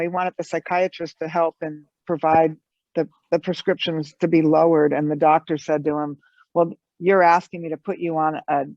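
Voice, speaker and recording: Gravelly man on dull call audio. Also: fairly high pitch, dry recording. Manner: animated, telling an anecdote with restarts. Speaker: panelist. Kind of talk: conversational storytelling at an online conference. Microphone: video call.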